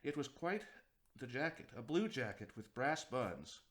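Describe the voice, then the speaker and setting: A man's voice: mid-range voice, very animated, moderate pace, a bit bright. A man narrating a children's picture book as a storyteller, reading expressively for young listeners.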